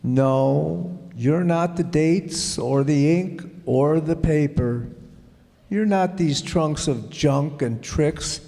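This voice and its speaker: An actor playing a prim, reasonable husband remembered from the past in a radio play, soft and gently lecturing. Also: male voice, a little high, slow pace, vocal fry. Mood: patient lecturing. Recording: hall reverb, music bed.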